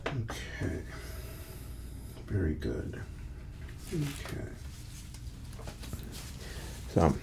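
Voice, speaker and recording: A man speaks very low and soft in an ASMR medical roleplay, with a creaky edge to his voice. He plays a doctor giving gentle, reassuring, unhurried instructions and often drops into a breathy whisper. The tone is very mellow and dark, recorded close to the microphone. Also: a little hiss or hum. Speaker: ASMR performer playing a doctor.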